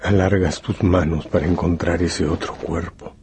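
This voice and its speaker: A man narrating a radio novel in a solemn, deliberate, dramatic second-person style. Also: low-pitched, level tone, a little mellow, breathy edge. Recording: music bed under the voice. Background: music.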